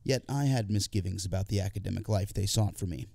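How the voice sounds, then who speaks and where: A man narrates a literary audiobook in the first person. His voice sits on the low side and is soft-edged, dark and relaxed. The sound is very dry, with no room around it. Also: quick pace, vocal fry, dry recording.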